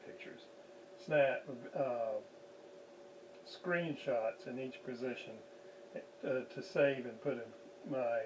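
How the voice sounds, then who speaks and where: A man giving an unscripted hands-on technical demonstration, haltingly thinking aloud as he works at radio repair. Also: mid-range voice, darkish tone, a little hiss or hum, rough recording.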